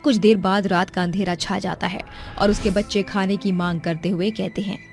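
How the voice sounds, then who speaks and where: A woman's voice: medium pitch, steady tone, moderate pace, a little mellow. A woman narrating a dramatic scripted story. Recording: music under the voice.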